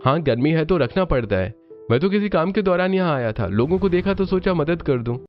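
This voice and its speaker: A man's voice: on the high side, expressive, brisk, lo-fi sound, faint music behind, voice pushed up, natural voice. A man voicing a caring, earnest rich young man in a cartoon story. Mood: concerned, gentle.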